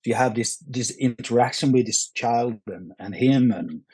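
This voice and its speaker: A man with a Norwegian accent, speaking English as a second language, answering interview questions in a halting way full of restarts and fillers. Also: medium pitch, dark tone, slightly rough, no echo. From Norway. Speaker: actor, guest. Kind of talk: casual interview.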